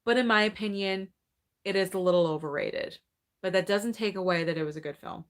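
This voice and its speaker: A woman's voice: mid-range voice, a little fast. A woman in her thirties hosting a casual live movie Q&A at home, chatty and upbeat, reading viewer comments and thinking aloud. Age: 30s. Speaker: movie channel host.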